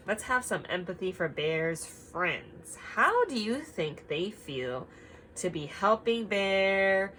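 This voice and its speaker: Slow, animated woman reading to children. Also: lowish voice, slight background noise, poor audio. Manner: expressive, child-directed, emphatic, asks simple questions. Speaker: teacher reading a picture book aloud. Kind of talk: children's read-aloud with guiding questions.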